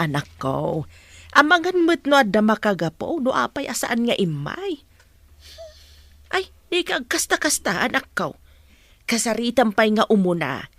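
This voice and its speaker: Very expressive Ilocano mother. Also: medium pitch, no echo. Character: concerned, advising older mother. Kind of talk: Ilocano radio drama dialogue.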